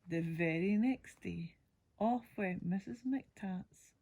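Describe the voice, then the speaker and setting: A woman reading a rhyming picture book aloud as a bedtime story, with a breathy voice and expressive, unhurried emphasis. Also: mid-range voice.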